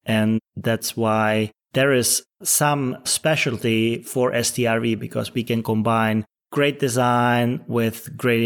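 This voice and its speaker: A man in his thirties from the Czech Republic talks as a startup's chief executive. He answers interview questions at a steady, earnest pace and explains his points carefully. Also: medium pitch, clean tone. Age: 30s. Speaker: startup CEO guest.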